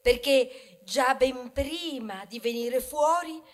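A woman's voice: sits high, slow, slight background noise. A woman playing a talking grain of wheat in a theatrical monologue, wry and indignant, moving between muttered asides and protest. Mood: bitter. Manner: ironic, conversational, swinging from muttered asides to loud protest. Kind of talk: theatrical stage monologue, ballad-like storytelling.

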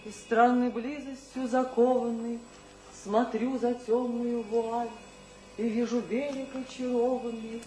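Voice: medium pitch, level tone, takes it slowly, mellow, soft background music, natural voice. A performer playing a solemn, dreamy reciter of verse in a radio drama, in an echoey space. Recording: echoey space, faint noise.

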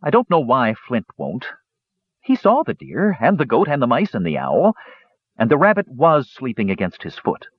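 A man's voice: mid-range voice, huge pitch swings, moderate pace, husky, no room sound, voice pushed up. A man voicing an earnest, mischievous young male kender who talks eagerly and pleads to be believed. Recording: dull dry recording.

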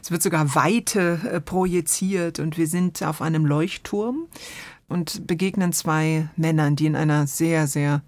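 A woman hosting a radio drama podcast, talking casually and thoughtfully about plays, often pausing to search for words. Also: low-pitched, no echo.

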